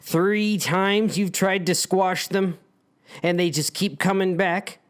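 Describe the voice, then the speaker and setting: A man's voice: high-pitched, steady tone, slow pace, clean tone. Wry, theatrical male storyteller. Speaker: author reading own story. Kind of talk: dramatic scripted narration addressing the characters.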